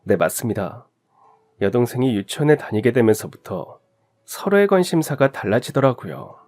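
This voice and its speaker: A man narrating a heartfelt life story in a scripted, expressive reading. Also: mid-range voice, normal speed, soft background music.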